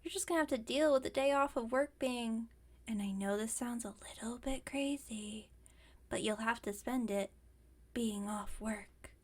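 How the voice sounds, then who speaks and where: Woman as a high-voiced, wry, caring werewolf roommate. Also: normal speed, breathy edge. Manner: casual, teasing, rambling jokes, gently bossy. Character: caring, wry young female werewolf roommate, pack beta. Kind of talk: ASMR roleplay, intimate comforting monologue.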